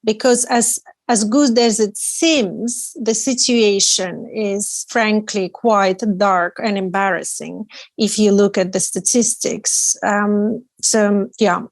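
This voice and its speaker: Slow, careful woman artist in her forties. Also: medium pitch, steady tone, no echo. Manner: measured, careful, many restarts. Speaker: artist and guest. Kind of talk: interview answers.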